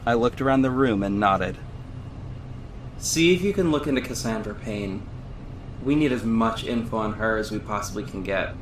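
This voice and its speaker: An actor playing an earnest superhero who narrates his own story in a measured, serious audio drama style. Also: lowish voice, slight background noise, close to an everyday voice, poor audio. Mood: brisk, focused. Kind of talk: scripted first-person audio drama narration.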